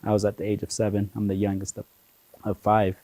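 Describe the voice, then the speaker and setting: Man from ethiopia, forties, low mellow voice, dry close sound, chatty storyteller who laughs often. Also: monotone, fast, no room sound. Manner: chatty, laughs often, many fillers like "you know". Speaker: guest. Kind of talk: relaxed conversational storytelling.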